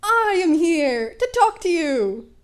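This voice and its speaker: A woman's voice: very high voice, big pitch swings, slow pace, pitched above the performer's own voice, heavily acted. A woman voicing a grand male superhero in a story, declaiming and mock-stern as he scolds. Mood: grand, scolding.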